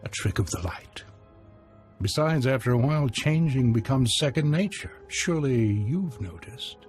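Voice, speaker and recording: A coolly menacing old man from a video game villain scene, played by a male actor. He talks with courtly politeness at a middling pitch, with music clearly behind him. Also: animated, normal speed, lightly acted. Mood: smug, menacing. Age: older. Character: sinister old man leading a hidden cell, coolly menacing. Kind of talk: video game cutscene acting. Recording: game audio over loud music.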